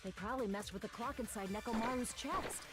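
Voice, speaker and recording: A woman's voice: a little high, quick pace, close to an everyday voice. A woman voicing an intense, defiant young woman in an anime-style murder mystery game. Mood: suspicious. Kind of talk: dramatic anime video game voice acting. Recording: game audio under loud music.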